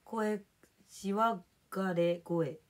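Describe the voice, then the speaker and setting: Hoarse young woman chatting on a livestream. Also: mid-range voice, very slow, a little mellow. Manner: chatty, drifts between topics and reading out viewer names. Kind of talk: casual live stream chat, thanking viewers for gifts.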